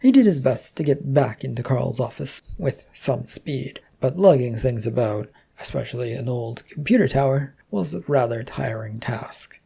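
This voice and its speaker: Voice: mid-range voice, animated, normal speed, creaky, few pauses, warm tone, slightly rough. A narrator reading scripted fiction as a storyteller.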